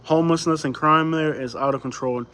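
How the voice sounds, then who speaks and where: A man's voice: on the high side, fairly even intonation, fairly quick, a bit bright. A man from Cuba, a native Spanish speaker, hosting a livestream with casual, conversational chat full of rhetorical questions. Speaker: livestream host. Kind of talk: casual chat, answering live viewer comments.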